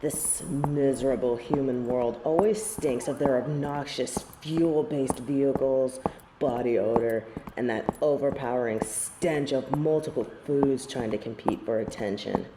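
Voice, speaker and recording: A woman's voice: a bass voice, measured pace, roomy sound, noisy background. A woman playing a snobby, scornful angel in a scripted audio roleplay, speaking in formal, archaic phrases with lofty disdain. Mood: disgusted. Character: snobby, haughty female angel of judgement, proud, scornful tsundere.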